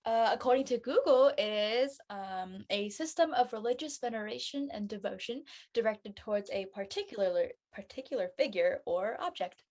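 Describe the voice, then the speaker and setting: A woman's voice: a little high, animated, normal speed, hardly any pauses, darkish tone. Chatty, curious teenage girl acted by a woman. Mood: casual, inquisitive. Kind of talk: casual live script reading.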